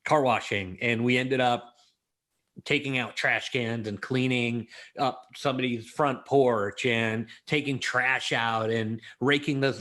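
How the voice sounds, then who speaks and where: Middle-aged man, interview guest, casual storyteller, middle pitch, lots of fillers. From born in Israel, raised in Los Angeles. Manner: chatty, many "you know" fillers, animated. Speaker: guest, creativity expert.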